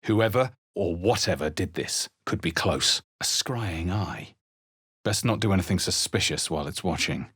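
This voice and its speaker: Husky, expressive game adventurer. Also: male voice, sits low, normal speed, dry recording, studio quality, exaggerated voice. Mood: watchful. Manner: terse, theatrical, emphatic on incantations. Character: young-adult male adventurer hero, alert, wry, chanting spells. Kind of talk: video game character barks and spell incantations.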